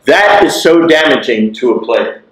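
A man's voice: a little high, sing-song, a little slow. A man in his sixties giving a motivational keynote speech through a lapel mic, emphatic and repeating his key phrases. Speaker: keynote speaker. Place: large lecture hall. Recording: echoey lecture hall.

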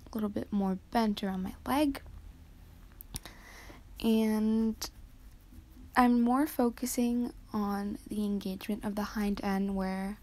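A teenage girl from Canada talking softly over her riding footage, explaining each step with frequent hesitant ums. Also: mid-range voice. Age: teens. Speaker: vlogger.

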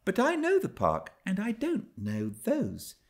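A man's voice: sits high, huge pitch swings, measured pace, voice pushed up, heavily acted. A man voicing an eager, curious, spirited young girl in a classic storybook reading, pleading and wondering. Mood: wistful, eager.

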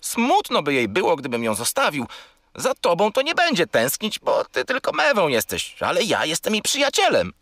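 A curious little furry boy creature speaks in a bright voice that leaps all over. He is naive, excitable and opinionated, in the manner of a children's radio play. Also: high-pitched, moderate pace, dry recording, studio quality, full character voice. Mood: earnest. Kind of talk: children's audio drama acting.

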